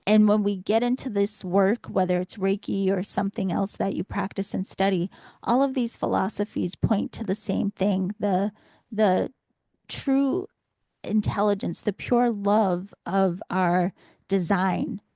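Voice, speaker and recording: A woman hosting a spiritual podcast as a reflective, instructive monologue, her voice slightly scratchy and hoarse from much talking. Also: mid-range voice, dark tone, no echo. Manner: reflective, instructive, posing rhetorical questions. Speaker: podcast host and spiritual teacher.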